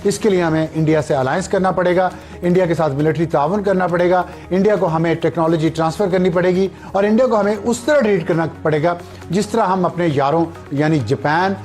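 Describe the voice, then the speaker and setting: A man's voice: sits high, brisk, darkish tone. A man giving political analysis in the manner of a news analyst. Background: music.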